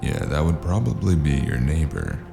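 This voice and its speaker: A man playing a weary, sardonic noir investigator in an audio drama, gravelly and deadpan. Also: extremely low pitch, moderate pace, over music, exaggerated voice. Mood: dry, weary. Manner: dry, deadpan. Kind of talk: noir audio drama acting and first-person narration.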